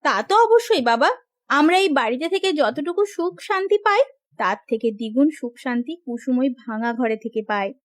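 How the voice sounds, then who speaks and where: A humbled young sister-in-law in a family cartoon, played by a woman. Her voice is high and earnest, with a pleading, remorseful manner. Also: expressive, a little fast. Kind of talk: Bengali moral cartoon voice acting.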